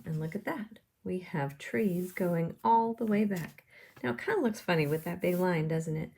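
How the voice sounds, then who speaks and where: A woman's voice: on the low side, normal speed, creaky, a little mellow, breathy edge. A woman art teacher giving step-by-step drawing instructions in simple, encouraging language, often putting questions to the viewer.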